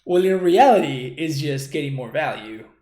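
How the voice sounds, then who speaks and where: A young man from Argentina with an Argentine Spanish accent. He is a streamer giving relaxed, chatty commentary on his own gameplay and thanking viewers for their chat messages. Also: a little high, moderate pace, reverberant.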